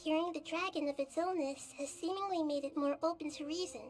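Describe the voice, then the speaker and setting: A woman voicing a gentle, thoughtful young female game character who speaks softly and consolingly, with game music behind. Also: high voice, fairly even intonation, brisk, natural voice. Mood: reflective. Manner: soft, reflective and consoling.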